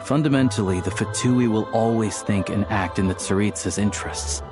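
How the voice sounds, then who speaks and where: An actor playing a level-headed, earnest young man in a video game, explaining things in a measured way. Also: fairly low pitch, music in the background, natural voice.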